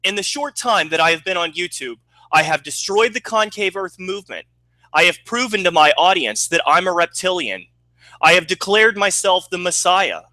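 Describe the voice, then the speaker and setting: A man with a high, sharply bright and nasal voice, recorded close and very dry. He addresses his YouTube audience with boastful sarcasm and crude jabs. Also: animated, normal speed, no room sound, poor audio. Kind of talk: mocking monologue to camera.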